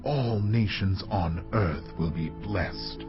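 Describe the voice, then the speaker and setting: Majestic, very slow, deep-voiced angel. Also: male voice, lo-fi sound, music in the background. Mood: solemn, majestic. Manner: slow, declamatory, solemn. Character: angel of the Lord, majestic authoritative male messenger. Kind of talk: dramatized audio Bible acting.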